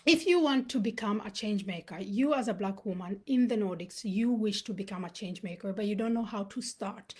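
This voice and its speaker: A woman from Uganda, raised in Sweden, hosting a livestream talk. She speaks in an earnest, motivational way, pausing with fillers. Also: medium pitch, darkish tone, breathy edge. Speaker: host and founder.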